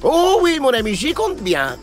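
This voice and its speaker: Excitable high-pitched cartoon trickster. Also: male voice, a little slow, exaggerated voice. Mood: sly, cheerful. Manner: theatrical, exclamatory, animated. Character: excitable, cunning, boastful folk-tale trickster man. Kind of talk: French children's cartoon voice acting. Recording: music behind the voice.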